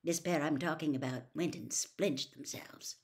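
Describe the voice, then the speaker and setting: A woman's voice: sits low, moderate pace, natural voice. A woman voicing a genial middle-aged wizard father who explains things in a chatty, matter-of-fact way for a storybook.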